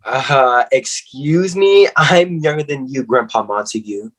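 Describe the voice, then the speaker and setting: A man's voice: mid-range voice, a little slow, natural voice. A man in a comedic play reading, playing a cheeky young friend with a flippant, sarcastic delivery.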